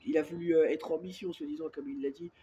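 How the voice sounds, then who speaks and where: A man with a head cold talks softly and at length into his phone held close. He rambles through a casual monologue and keeps circling back with filler words. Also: on the high side, steady tone, quick pace, dull audio, slight background noise. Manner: speaks softly on purpose. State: has a cold, congested and coughing. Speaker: self-filmed vlogger.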